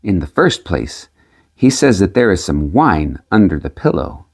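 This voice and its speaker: Man acting a cunning male peasant, storybook trickster, low, expressive, measured. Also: creaky, breathy edge, exaggerated voice. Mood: sly, measured. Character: sly male peasant trickster selling prophecies.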